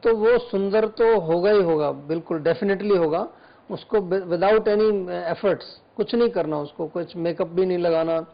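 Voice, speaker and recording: A male Ayurvedic doctor on a studio health show, calmly explaining remedies and advice step by step. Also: high-pitched, measured pace, dull audio. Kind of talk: studio health talk, advising callers.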